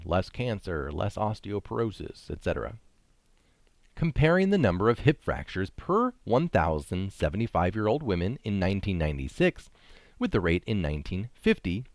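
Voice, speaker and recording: A man's voice: sits low, no echo, studio quality. A man reading an article aloud with casual asides, speaking emphatically and posing rhetorical questions. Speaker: reader of articles.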